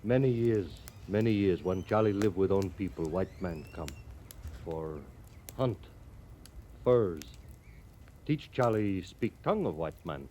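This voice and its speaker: Slow-spoken frontier horse thief, halting English. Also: male voice, sits low, lively intonation, warm tone. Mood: earnest, wistful. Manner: halting broken English, refers to himself in third person. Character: cheerful, guileless Crow horse thief and scout. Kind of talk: 1950s radio western acting. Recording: old radio recording with background noise.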